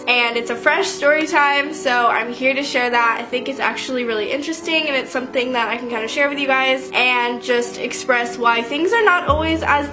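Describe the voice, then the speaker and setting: Congested young woman vlogger, bright voice. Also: a little high. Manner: chatty, lots of "like" fillers, earnest. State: congested from a cold. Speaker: YouTuber. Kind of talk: casual storytime vlog.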